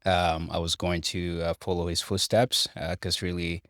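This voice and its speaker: A man in his forties from Cameroon, a construction director answering interview questions in a measured, reflective way with frequent restarts. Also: low voice, flat delivery, fairly bright tone, no room sound, clean studio sound. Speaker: construction director, podcast guest. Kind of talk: interview conversation.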